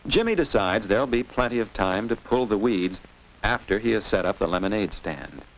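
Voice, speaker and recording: A man's voice: fairly low pitch, animated, moderate pace. An American man narrating a 1950s instructional film for children in a scripted, didactic manner, posing simple questions and answers. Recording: noisy vintage 1950s film soundtrack with clicks.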